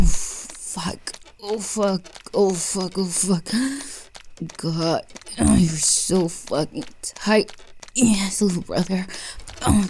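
A woman talks slowly in a hushed, breathy whisper, teasing and doting by turns. Her voice sits a little low and has a gravelly fry running through it. A faint hiss of noise sits under the recording. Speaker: audio roleplay performer. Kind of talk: whispered roleplay monologue.

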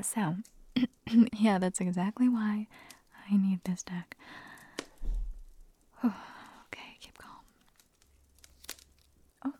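Raspy whispering woman, slow and husky. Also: mid-range voice, mellow. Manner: giggly and self-deprecating, chatty asides. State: hoarse, nearly losing her voice. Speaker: ASMR creator. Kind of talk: whispered ASMR audio.

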